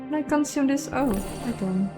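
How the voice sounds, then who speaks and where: A woman's voice: sits high, normal speed, over music. A woman giving casual, playful let's play commentary, chatting and thinking aloud as she goes. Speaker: host of a game playthrough.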